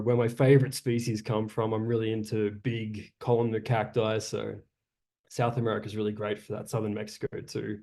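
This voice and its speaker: Relaxed Australian man presenting. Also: mid-range voice. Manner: relaxed, chatty with frequent uhs. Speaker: guest speaker. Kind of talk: presentation over video call. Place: home in Chile.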